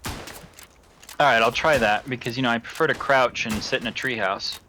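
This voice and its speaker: A man's voice: medium pitch, fairly even intonation, moderate pace, bright, forceful tone, slight background noise. A young American man chatting casually while playing a game with a friend. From United States. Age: young adult. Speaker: co-player in a gaming video. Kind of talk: casual chat while gaming.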